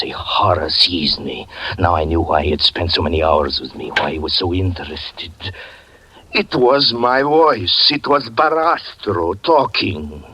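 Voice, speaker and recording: A man's voice: medium pitch, pushed and bright, slight background noise, low-quality recording. An actor in 1940s radio acting style, playing a haunted man who breathlessly recounts his own murder. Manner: intense, breathless dramatic narration.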